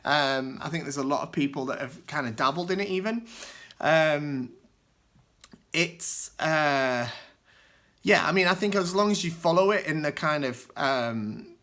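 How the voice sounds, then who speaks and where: A man with a bright, forceful tone worn hoarse by a cold talks straight to camera. He answers viewer questions in a relaxed, rambling way, full of asides. His pitch sits in the middle of the range. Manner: relaxed, explains at length with many asides. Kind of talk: casual solo vlog talk.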